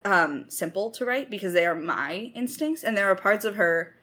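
Woman, mid-pitched voice, casual Q&A talk, reflective, lightly self-deprecating, writer discussing craft. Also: expressive, normal speed, bright, forceful tone. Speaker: lead writer of an audio drama.

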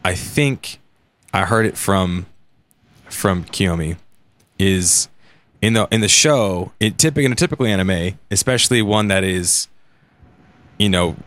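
A man with a fairly low voice speaks in casual discussion. He is halting, repeating small words as he works out his thought. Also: fairly bright tone. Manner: halting, repeats words while thinking aloud. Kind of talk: casual panel chat.

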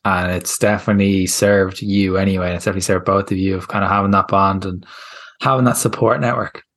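A man's voice: low voice, steady tone, fast, a bit bright. A man hosting a podcast, asking casual interview questions, hesitant, with many fillers and restarts. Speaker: podcast host.

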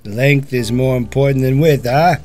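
An actor playing a cynical, wisecracking senior police officer in a noir audio drama, with a husky voice and teasing swagger. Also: male voice, mid-range voice, normal speed, a little mellow, natural voice. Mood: teasing, bossy.

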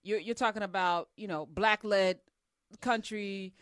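Energetic woman talk show host, dry sound. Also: medium pitch, a little slow, smooth, no room sound. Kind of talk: interview hosting.

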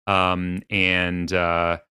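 A man's voice: low voice, monotone, very slow, sharp tone, clean tone, dry recording, studio quality. A man in his forties chatting casually on a podcast. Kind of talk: casual chat.

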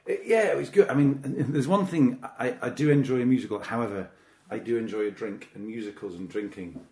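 A man's voice: mid-range voice, a little fast, a little mellow. A British man co-hosting a podcast, chatting casually in a hesitant, mild-mannered way. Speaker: podcast co-host. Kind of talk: casual chat. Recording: roomy echo.

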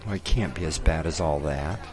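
A man's voice: a bass voice, fairly quick, darkish tone, soft background music. A man playing a smug, confident lawyer in an audio drama, teasing and probing as a fiancé. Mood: teasing, reassuring. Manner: self-assured, teasing. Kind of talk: scripted audio drama acting.

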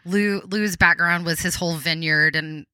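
A woman's voice: lowish voice, fairly even intonation, moderate pace, bright, forceful tone, no echo, clean studio sound. A woman with a Texan accent hosting a podcast, chatting casually and upbeat with a co-host, with plenty of fillers.

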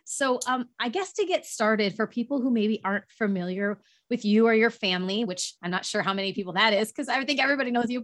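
A woman hosting a parenting podcast, slightly hoarse, talking earnestly with fillers. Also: mid-range voice, fairly bright tone. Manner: earnest, apologetic asides with fillers. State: slightly hoarse after illness. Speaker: podcast host. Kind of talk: podcast intro and interview.

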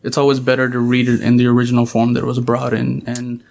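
Young Pakistani-born man, Punjabi native, podcast guest; steady, even explainer. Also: mid-range voice, creaky. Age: 20s. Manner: calm, explanatory, measured. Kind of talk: casual chat.

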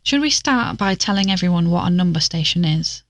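A woman's voice: fairly low pitch, expressive, brisk, no room sound, natural voice. A woman playing an amateur investigator and podcast co-host, chatty and explanatory, in an audio drama. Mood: conversational, curious.

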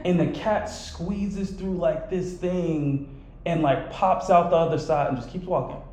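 A man's voice: on the high side, steady tone, measured pace, darkish tone, a little hiss or hum. Rambling, self-reflective man in echoey room. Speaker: podcast host. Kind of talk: casual podcast chat.

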